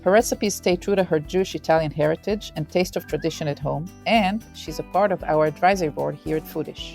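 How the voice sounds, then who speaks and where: A woman hosting an interview, giving a warm introduction and asking direct questions. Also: deep voice, quick pace, gravelly, faint music behind. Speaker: podcast host, interviewer.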